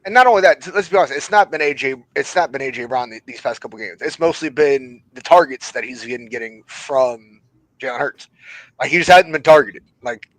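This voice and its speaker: A man on a casual sports talk panel who talks quickly and often uses the filler "like". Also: medium pitch, animated, a bit bright, no echo, rough recording.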